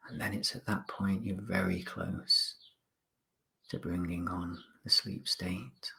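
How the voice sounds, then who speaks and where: A man instructing listeners through a guided body scan relaxation, speaking politely in short phrases with pauses. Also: low-pitched, level tone, vocal fry, pushed and bright.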